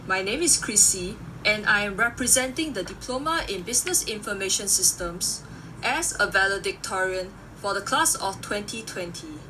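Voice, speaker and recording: A young woman from Singapore with a Singaporean accent and a mid-pitched voice. She speaks as an amateur presenter on a home microphone, with clear noise behind her. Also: lively intonation, normal speed. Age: 20s. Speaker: amateur product reviewer. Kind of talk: casual microphone review with read-aloud speech samples. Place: room at home. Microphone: USB condenser microphone.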